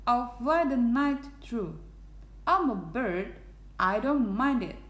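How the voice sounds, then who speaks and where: A woman's voice: fairly high pitch, expressive, slow pace, fairly bright tone. A woman voicing a mean, boastful male storybook eagle with a sneering delivery. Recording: roomy, echoing sound.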